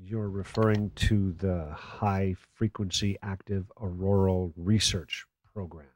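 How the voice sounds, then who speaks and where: An actor playing a skeptical, sardonic late-night call-in radio host in a radio play, with a dry, measured manner. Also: male voice, deep voice, fairly even intonation, darkish tone, slight background noise. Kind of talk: radio play acting as talk radio.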